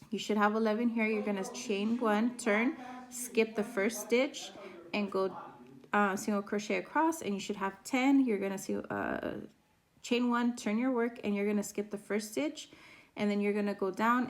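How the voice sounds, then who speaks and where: A woman teaching a crochet pattern step by step, her voice raspy from a sore throat as she counts stitches aloud. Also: medium pitch. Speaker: crochet instructor. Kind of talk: step-by-step craft tutorial.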